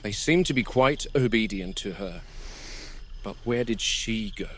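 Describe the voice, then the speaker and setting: A man playing a grim, wary game warden who narrates a found-footage horror field log in a measured, grave, deliberate manner. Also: on the low side, lively intonation. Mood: watchful, absorbed. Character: grim, wary male game warden logging predator behavior. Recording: noisy VHS-style found-footage recording.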